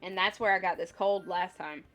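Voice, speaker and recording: A woman's voice: lowish voice, fairly even intonation, moderate pace, fairly bright tone. A woman hosting a casual livestream, rambling and thinking aloud as she talks to viewers, sounding slightly congested. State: slightly congested with a lingering cough. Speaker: livestream host. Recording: music behind the voice. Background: music.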